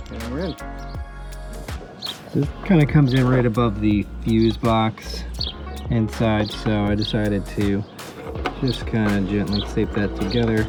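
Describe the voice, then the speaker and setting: Regular-pitched guy doing a diy fix-it video, chatty thinking out loud while he works, music going behind him. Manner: informal, thinking aloud while working. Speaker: DIY vehicle repair host. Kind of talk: casual hands-on tutorial narration.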